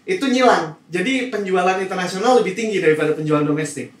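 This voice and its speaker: Man, high-pitched voice, casual panel chat, explanatory, echoey room. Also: fast. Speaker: podcast panelist. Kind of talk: casual group chat about anime. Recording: roomy echo, clear background noise.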